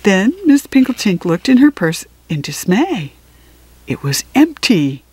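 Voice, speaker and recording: Slow-paced woman reading a storybook. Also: medium pitch, breathy edge, no room sound. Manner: unhurried, expressive phrasing with deliberate pauses. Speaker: storybook reader. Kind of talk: read-aloud of a children's picture book.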